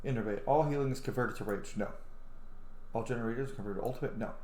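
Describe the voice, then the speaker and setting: A man's voice: mid-range voice, fast talker, slight background noise. A man in his thirties giving blunt, sweary, casual commentary on a video game he is playing. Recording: roomy sound under loud game music. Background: loud game music.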